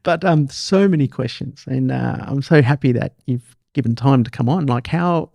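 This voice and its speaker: Male podcast host, mid-pitched with a creaky edge, casual interview talk, dry close sound. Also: normal speed, a little mellow, no room sound.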